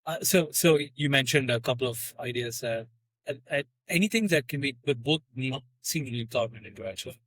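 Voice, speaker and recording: Husky, halting male podcast host. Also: mid-range voice, a bit bright, no echo. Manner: halting questions with many restarts. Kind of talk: casual podcast interview.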